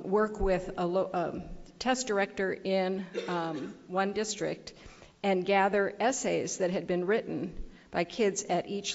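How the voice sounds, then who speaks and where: A woman's voice: lowish voice, creaky, darkish tone. An older woman on a conference panel, answering thoughtfully with many fillers and restarts, speaking into a table microphone. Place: large conference hall. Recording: dull audio in a large reverberant hall.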